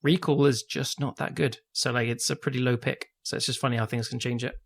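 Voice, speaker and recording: A man's voice: mid-range voice, steady tone, a little fast, gravelly. A man co-hosting a casual podcast chat, laid-back and laughing often. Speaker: podcast co-host.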